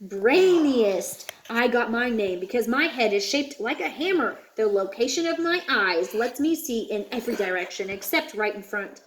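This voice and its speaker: Woman as a proud, high-voiced storybook shark. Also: animated, moderate pace, few pauses, slight background noise, lightly acted, poor audio. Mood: proud explaining. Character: assorted proud sharks introducing themselves in storybook.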